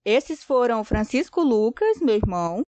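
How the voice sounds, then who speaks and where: A woman co-hosting a podcast, reading scripted narration in a clear, friendly way. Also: fairly high pitch, big pitch swings, normal speed, a little mellow, dry recording. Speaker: podcast co-host.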